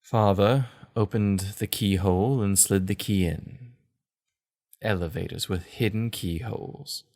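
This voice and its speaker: An actor playing a shaken, reflective gentleman in a period audio drama, reading his letter in literary, measured phrases. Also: on the low side. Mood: wistful, reflective.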